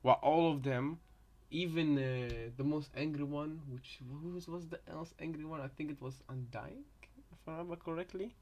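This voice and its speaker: A man's voice: on the high side, normal speed, few pauses, a little mellow. Rambling male reactor, casual commentary. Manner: rambling, repeats and reworks phrases. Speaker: reaction video host.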